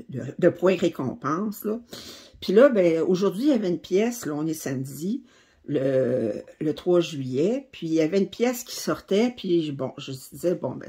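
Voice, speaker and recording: Québécois woman, middle-aged, husky low voice, rambling chatty vlog talk. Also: a little mellow. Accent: Québécois French. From Quebec, Canada. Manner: chatty, rambling, with many fillers and restarts. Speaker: craft channel host. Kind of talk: casual chat to camera.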